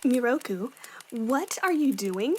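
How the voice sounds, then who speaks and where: A woman's voice: fairly high pitch, expressive, slow pace, mellow, a little hiss or hum. A woman playing a bossy but caring young warrior woman in a fan audio drama, with a firm, matter-of-fact manner. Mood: scolding, firm.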